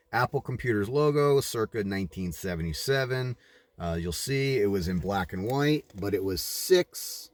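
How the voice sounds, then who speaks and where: Male book reader, lowish register, dry sound, deliberate and emphatic, slips into informal asides. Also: no room sound. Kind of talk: read-aloud narration with informal commentary.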